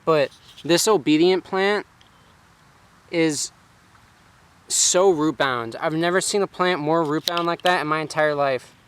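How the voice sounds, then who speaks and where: A man's voice: on the high side, measured pace, pauses often, a little hiss or hum. Rambling man filming his garden. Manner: rambling, relaxed, lots of "like" and "um". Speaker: gardening vlogger. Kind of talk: casual self-filmed vlog. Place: outdoors in a home garden. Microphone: handheld self-filming camera.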